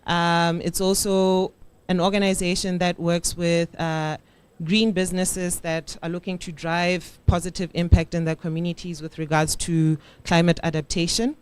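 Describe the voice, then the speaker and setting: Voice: mid-range voice, smooth. A young entrepreneur from Botswana presents their business at a conference, hesitating often with fillers. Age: young adult.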